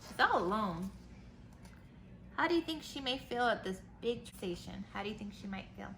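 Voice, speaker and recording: Woman teacher, children's storytime read-aloud, bright voice, simple explanatory asides, roomy echo with faint noise. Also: mid-range voice, animated, normal speed, creaky. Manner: simple explanations and questions addressed to young listeners. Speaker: teacher reading a picture book aloud to children.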